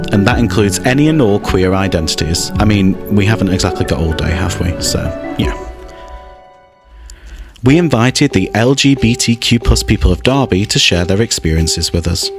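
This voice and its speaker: Guy with a lowish voice doing a chatty explainer voiceover, music really loud. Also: lively intonation, moderate pace. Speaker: narrator. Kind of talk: scripted narration, explainer.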